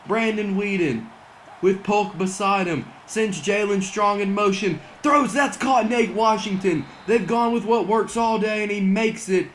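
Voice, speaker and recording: A young man doing excited mock broadcast commentary over a football video game, saying he is losing his voice. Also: sits high, a little slow, slight background noise. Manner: excitable play-by-play, rising to near-shouts on big plays. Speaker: gaming channel host doing play-by-play.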